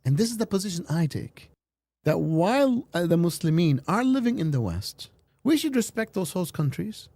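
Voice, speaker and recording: Lively-voiced man on a dry podcast clip. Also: sits high, moderate pace, gravelly, no room sound. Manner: rambling, restarts often, animated. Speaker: guest in a played podcast clip. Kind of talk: casual podcast conversation.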